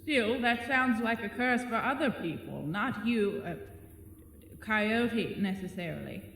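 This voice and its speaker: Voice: mid-range voice, expressive, creaky, a bit bright. An actor performs a lonely male spider monster from a fantasy audio drama. He is courteous and wry, choosing his words with care. His voice rings through a long, echoey cavern reverb with faint noise. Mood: dryly questioning. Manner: polite, wry, measured phrasing.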